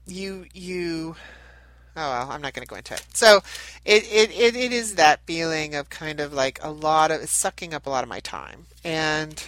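A woman with a nasal voice that a lingering head cold makes stuffier still, pitched fairly low for her. She wanders and restarts her sentences in a chatty spiritual talk. The sound is dry and close. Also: sharp tone, no echo, poor audio. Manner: meandering, chatty, with many restarts. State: getting over a head cold. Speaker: spiritual reader and channel host. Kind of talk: casual spiritual talk to camera.

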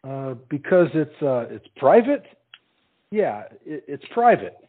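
A man's voice: a little high, sing-song, measured pace. A man playing a stammering, tongue-tied young suitor. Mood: flustered, pleading. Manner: hesitant, stammering, evasive. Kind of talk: stage comedy acting. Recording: muffled, tinny narrow-band sound.

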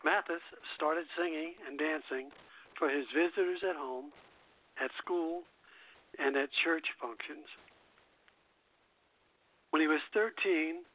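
Male radio host, slightly high-pitched, informal read-aloud delivery, muffled low-fidelity call-in recording. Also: slow pace, pauses often. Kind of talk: informal radio hosting, reading a biography aloud. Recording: dull lo-fi internet radio call.

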